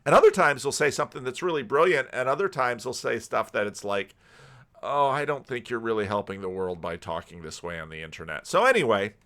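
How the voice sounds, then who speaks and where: A man giving casual, unscripted commentary in a rambling, halting way, often restarting his sentences. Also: medium pitch, animated, fairly bright tone. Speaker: YouTube commentator and pastor.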